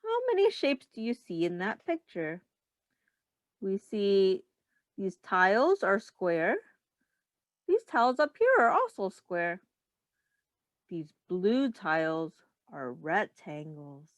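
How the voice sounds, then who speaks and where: Casting: female early-years teacher, slow and very expressive, doing an interactive children's read-aloud. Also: mid-range voice, dry recording, dull audio. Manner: instructive, explains in simple words, repeats and counts aloud; addresses children directly, pausing for their answers. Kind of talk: children's read-aloud with questions to young listeners.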